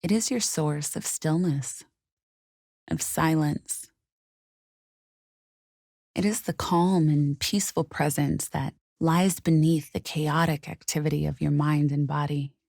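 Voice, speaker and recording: A woman guiding a meditation in a soothing, unhurried, softly spoken way with gentle pauses. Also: low-pitched, steady tone, creaky, dry recording, studio quality. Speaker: meditation guide. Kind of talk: guided meditation narration.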